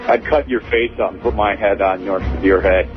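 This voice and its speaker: A man's voice: lowish voice, steady tone, normal speed, over music. A man calling in to a radio show, chatting casually over the phone. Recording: muffled phone call with faint noise.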